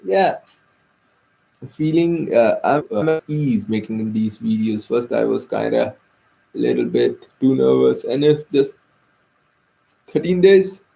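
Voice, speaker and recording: A man's voice: medium pitch, sing-song, slow pace, gravelly, pauses often, mellow, low-quality recording. A young man with an Indian accent, a native Hindi speaker, talking hesitantly in a personal vlog with many fillers and restarts. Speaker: vlogger.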